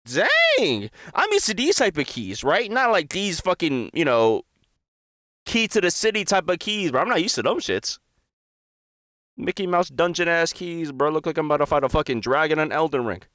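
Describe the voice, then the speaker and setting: A man's voice: a little high, big pitch swings, normal speed, sharp tone, no room sound, clean studio sound. A young American man giving casual, slangy, profane reaction commentary, swinging from mutters to exclamations. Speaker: reaction video host.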